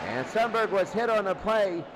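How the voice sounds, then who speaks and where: High-pitched male football announcer over loud noise. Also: normal speed, over a noisy crowd. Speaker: play-by-play sports announcer. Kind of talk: American football radio commentary. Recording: loud steady noise behind the voice.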